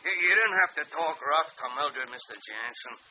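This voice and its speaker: A man's voice: fairly high pitch, expressive, moderate pace, natural voice. An actor in a 1940s radio drama playing a meek, simple-minded man who talks in a timid, hesitant, pleading way. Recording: dull old radio broadcast with faint hiss.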